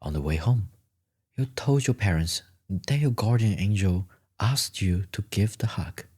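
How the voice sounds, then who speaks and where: A man narrating a scripted story in a gentle ASMR storytelling style, with deliberate phrasing and a breathy, husky voice that often drops toward a whisper. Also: lowish voice. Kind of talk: scripted second-person bedtime storytelling, ASMR.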